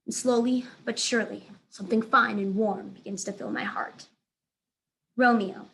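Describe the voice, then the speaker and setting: A woman's voice: medium pitch, normal speed, creaky, fairly bright tone, rough recording. A woman reading aloud as a teacher, narrating a children's book expressively.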